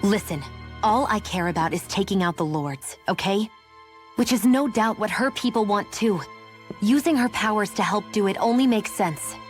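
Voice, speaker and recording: A woman's voice: mid-range voice, moderate pace, lightly acted. A woman playing a curt, pragmatic young outcast in a video game cutscene. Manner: curt, brusque. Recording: music behind.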